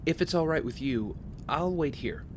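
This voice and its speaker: An actor playing a wealthy young heir, polite, smooth-talking and guarded, in audio drama dialogue. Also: male voice, fairly high pitch, expressive, moderate pace, slight background noise, close to an everyday voice. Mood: earnest, persuasive.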